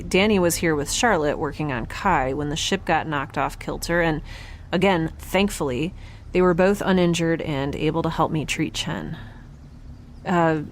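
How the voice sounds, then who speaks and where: An exhausted young woman scientist recording a ship's log in a sci-fi audio drama. She speaks slowly in a lowish voice, halting and wry, yet stubbornly determined. Faint noise sits behind her. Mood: weary, businesslike. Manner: halting, wry, trailing off mid-thought.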